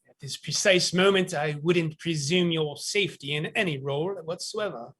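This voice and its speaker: An actor playing a menacing, haughty captain in a stage play reading, with clipped, formal disdain. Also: male voice, on the high side, normal speed, fairly bright tone. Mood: cold, threatening.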